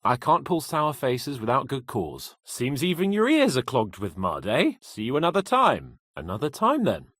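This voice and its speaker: A man's voice: medium pitch, wildly swooping pitch, moderate pace, a bit bright, dry recording, studio quality. An actor playing a brash young medieval nobleman in a video game, with a British accent and a witty, teasing, haughty manner. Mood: wry, casual.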